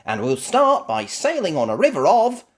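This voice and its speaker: A woman's voice: fairly low pitch, huge pitch swings, moderate pace, pitched above the performer's own voice. A woman playing a cheery lady rabbit tour guide in a children's storybook, announcing emphatically and welcomingly. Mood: cheerful announcing.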